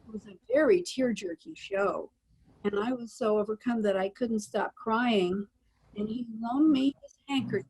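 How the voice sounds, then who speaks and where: A woman's voice: on the high side, moderate pace, darkish tone, slightly rough, low-quality recording. An older American woman, a former performer, reminiscing in a panel interview and searching for her memories. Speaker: panel guest, former child radio actress.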